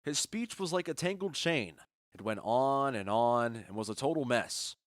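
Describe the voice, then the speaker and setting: A man's voice: mid-range voice, expressive, moderate pace, no room sound, studio quality, natural voice. A man playing a wry, mocking nobleman in audio drama dialogue. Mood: amused. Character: wry noble man watching a bad play.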